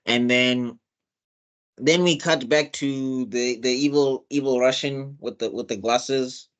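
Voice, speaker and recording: A man's voice: medium pitch, steady tone, slow. A man casually recapping a TV episode as co-host, rambling with lots of fillers and restarts. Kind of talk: casual chat.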